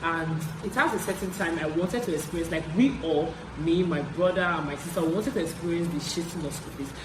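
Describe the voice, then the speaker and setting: A young adult man with a Nigerian accent tells stories casually, in an animated way, repeating phrases for emphasis as a vlogger. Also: fairly high pitch, fairly quick. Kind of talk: casual story-time chat. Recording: roomy echo, clear background noise.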